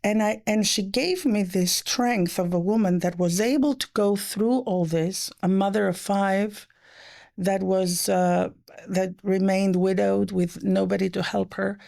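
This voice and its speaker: A middle-aged woman with a gravelly, creaky edge to her voice, whose first language was French. She tells stories in quick, animated bursts and drops to a soft, near-whispered hush when quoting someone. Her voice sits in a middle range. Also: mid-range voice. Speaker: guest, economist. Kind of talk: conversational interview.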